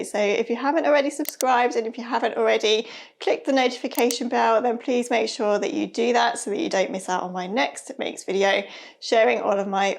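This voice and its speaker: A woman in her thirties talking casually to camera about her sewing, a little hoarse and nasal from a cold, friendly and chatty. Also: mid-range voice. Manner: chatty, friendly, with soft laughs. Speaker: sewing vlogger. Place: bright room with a sewing machine and shelves. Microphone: lapel mic.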